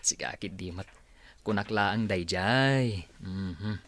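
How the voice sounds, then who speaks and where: A man playing a humble, struggling working husband, low-key, in a radio drama. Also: slow, fairly bright tone, exaggerated voice. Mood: grumbling.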